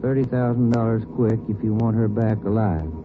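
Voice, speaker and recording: An actor playing a dependable detective partner who asks relaxed, conversational questions in a 1950s radio drama. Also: male voice, lowish voice, moderate pace, close to an everyday voice. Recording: tinny old radio broadcast with hiss.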